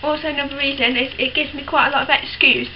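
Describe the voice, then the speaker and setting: A teenage woman talks frankly and casually to camera in a high voice, full of ums and restarts. The sound is dull and lo-fi. A clear hiss runs under her speech. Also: fairly even intonation, fast. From United Kingdom. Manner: chatty, frank, many fillers and restarts. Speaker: vlogger.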